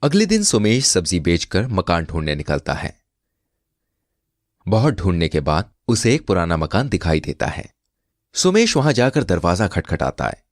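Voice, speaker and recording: A man narrating a scripted comic moral tale as an expressive storyteller. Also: sits low, moderate pace, dry recording, studio quality.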